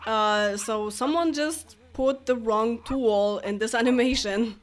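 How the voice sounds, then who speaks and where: A young woman with a slightly high voice, chatting through a live game stream. She laughs often and talks to the characters on screen as she plays. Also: slow. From Poland. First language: Polish. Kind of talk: casual live game commentary.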